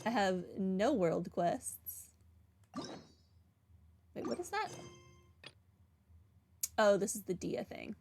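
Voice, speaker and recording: A woman's voice: medium pitch, animated, slow, gravelly, pauses often, darkish tone, slight background noise. A woman streamer giving casual, rambling game commentary in a dry, wry manner. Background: game music.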